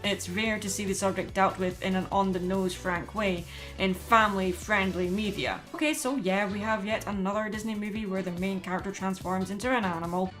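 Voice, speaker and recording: A woman presents a scripted review in an expressive, opinionated way. Her voice sits at an average female pitch. Music plays behind her narration. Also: a little fast. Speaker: YouTube film reviewer and host. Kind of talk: scripted video essay narration. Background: background music under voice-over.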